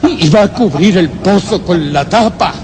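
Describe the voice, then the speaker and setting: A man's voice: high-pitched, lively intonation, measured pace, echoey room, big-room sound. An actor playing an anxious man trapped down a well in a suspense radio play, speaking in a dramatic, brooding way. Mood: horrified. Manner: dramatic, brooding inner monologue rising to cries.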